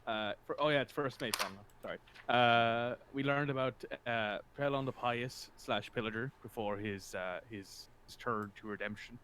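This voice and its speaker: A man's voice: medium pitch, moderate pace, hardly any pauses, a bit bright, slight background noise. A man talking casually as a player in a tabletop game session.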